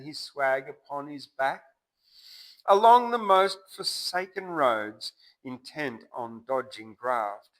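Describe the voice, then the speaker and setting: An Australian man reciting a bush ballad theatrically, swinging between loud emphasis and hushed line endings. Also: mid-range voice, rough recording. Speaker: poetry reciter and channel host.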